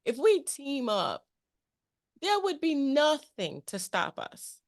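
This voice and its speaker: A woman's voice: sits high, wildly swooping pitch, slow. A woman hosting a casual interview in a chummy, emphatic way, often repeating phrases for emphasis. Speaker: interview show host.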